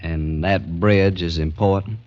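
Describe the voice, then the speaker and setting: An actor playing a courteous, genteel planter, a civilian gentleman, in an old-time radio drama. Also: low voice, steady tone, measured pace. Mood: probing, intent. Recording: dull, lo-fi vintage radio recording.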